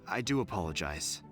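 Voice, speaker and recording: Courteous male town guard for anime game: formal, bemused, expressive inflection, music underneath. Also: on the low side. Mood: apologetic. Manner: formal, apologetic. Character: polite, puzzled city guardsman, adult man. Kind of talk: anime-style game voice acting. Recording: loud background music.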